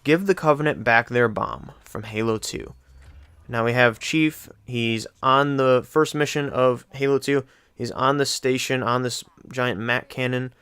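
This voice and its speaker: Clear-voiced, enthusiastic male countdown host. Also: mid-range voice, fairly bright tone, dry recording. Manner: enthusiastic, casual, repetitive emphasis. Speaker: video host. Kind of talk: countdown list commentary.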